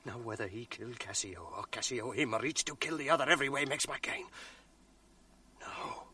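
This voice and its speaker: An actor playing a treacherous male villain in a radio drama, speaking in a low conspiratorial murmur that often drops to a whisper. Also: moderate pace, pushed and bright, husky, distant mic. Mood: plotting. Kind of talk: 1980s radio drama acting.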